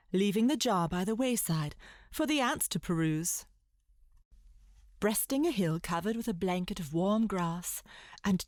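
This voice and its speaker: A woman's voice: mid-range voice, no room sound, studio quality. A woman reading scripted storybook narration in a classic literary style. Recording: loud music behind the voice.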